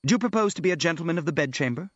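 A man voicing a bold young woman in an audiobook, brisk, frank and mockingly businesslike in her questioning. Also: fairly high pitch, animated, a bit bright, dry recording, dull audio, voice pushed up. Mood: mock practical.

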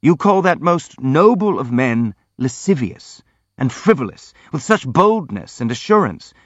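A man voicing a gentle, timid young prince in an audiobook, speaking politely and earnestly. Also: medium pitch, very animated, a little slow, dry recording, lo-fi sound, voice pushed up. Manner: polite, earnest, hastily apologetic.

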